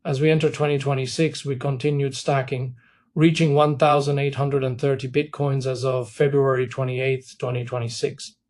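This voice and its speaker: A man speaking as a company executive, reading a scripted corporate presentation in a formal, measured way. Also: mid-range voice, flat delivery, a little mellow. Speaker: company executive on an earnings call.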